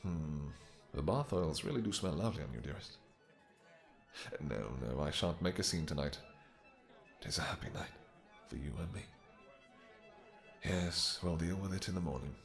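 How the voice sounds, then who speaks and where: A man's voice: low voice, expressive, moderate pace, gravelly, long pauses, faint music behind. A man playing a possessive, courtly vampire king in a romantic fantasy audio roleplay, speaking silky endearments with mock-polite menace. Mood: possessive tenderness.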